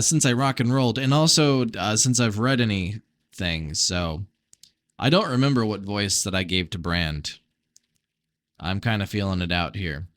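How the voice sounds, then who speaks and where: A man's voice: on the low side, a bit bright, no room sound. A man reading a fantasy novel aloud as an amateur narrator, with casual delivery. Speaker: amateur audiobook reader.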